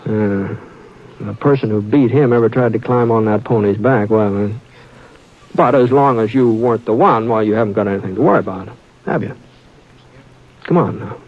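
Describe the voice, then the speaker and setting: An actor playing a calm, steady frontier rancher who narrates, speaking in a soothing drawl in a 1950s radio drama. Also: male voice, lowish voice, moderate pace. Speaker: narrator and lead. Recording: dull old radio broadcast.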